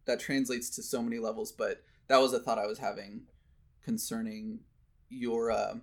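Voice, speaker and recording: A man's voice: mid-range voice, little pitch movement, normal speed. A man giving his opinions in casual conversation, emphatic and given to voicing imagined quotes.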